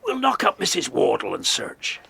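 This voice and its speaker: A man's voice: fairly high pitch, huge pitch swings, moderate pace, gravelly, pushed and bright, heavily acted. An actor playing a dutiful subordinate village policeman in a children's audio drama. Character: eager subordinate village policeman, adult man. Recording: faintly noisy old cassette recording.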